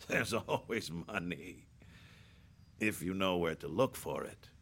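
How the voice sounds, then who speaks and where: An actor playing a smooth, pushy senior government official in a satirical audio drama, with insinuating, halting delivery. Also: male voice, low-pitched, lively intonation, fairly bright tone, heavily acted.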